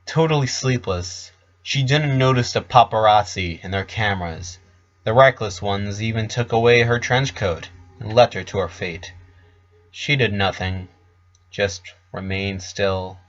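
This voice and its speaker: A man's voice: lowish voice, measured pace, a bit bright, highs cut off. A man reading scripted narration as the storyteller of a fictional tale.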